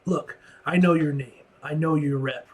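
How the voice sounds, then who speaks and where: A man voicing a wary, street-smart underworld dealmaker from a comic book, guarded and folksy with halting phrasing. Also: on the high side, fairly even intonation.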